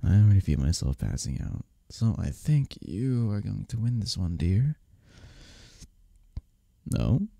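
Man acting as drowsy, cuddly husband, dark low voice. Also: sing-song, gravelly, dry recording, clean studio sound, full character voice. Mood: teasing, relaxed. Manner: soft, drowsy, teasing, unhurried. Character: sleepy, cuddly, playfully affectionate husband in bed. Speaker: ASMR roleplay performer. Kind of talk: intimate ASMR boyfriend-style roleplay. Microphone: close, dry microphone.

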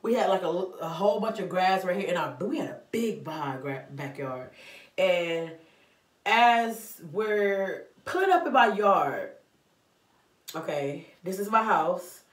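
A young woman from Florida telling a storytime to the camera, animated and slangy, talking straight to her viewers. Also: lowish voice, a little slow, far from the mic. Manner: animated, gestures a lot, slangy asides to viewers. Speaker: vlogger. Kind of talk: casual storytime. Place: small bathroom indoors. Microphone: phone on a stand, mid-distance. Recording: echoey bathroom, off-mic, faint music.

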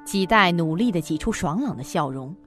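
A woman's voice: fairly low pitch, expressive, a little fast, faint music behind. A woman reading scripted narration for a suspense audio drama.

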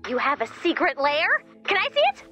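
A woman voicing an excitable, scatterbrained female robot assistant in a video game, babbling cheerfully and awkwardly. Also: sits high, a little fast, faint music behind, heavily acted. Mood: excited, scatterbrained. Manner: chirpy, babbling, repeats words, awkward. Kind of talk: video game character dialogue.

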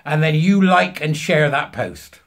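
A British man in his fifties telling an anecdote to camera, emphatically acting out both sides of a conversation in a husky voice. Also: a little high, expressive, measured pace, fairly bright tone. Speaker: vlogger. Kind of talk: animated anecdote told to camera. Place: small furnished room at home, indoors.

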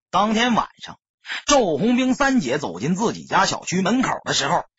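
A man's voice: a little high, fairly bright tone, slightly rough, dry recording, lo-fi sound. A man narrating an audiobook as scripted dramatic storytelling, solemn and emotive.

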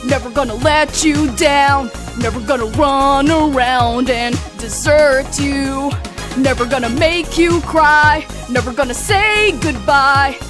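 Woman singing karaoke over loud music. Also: sits high, takes it slowly. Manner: singing along loosely, fumbling some words. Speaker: streamer.

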